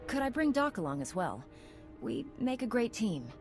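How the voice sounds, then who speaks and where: A woman's voice: on the high side, big pitch swings, normal speed. A woman playing a composed, dutiful Jedi Knight commander in a video game, speaking in a level, direct and earnest way. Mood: focused, direct. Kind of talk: video game cutscene voice acting. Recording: clear music behind the voice.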